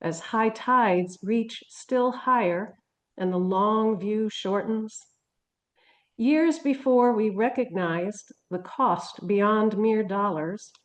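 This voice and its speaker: Slow-paced woman reading a poem. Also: medium pitch. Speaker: poet. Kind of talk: introducing and reading own poem aloud.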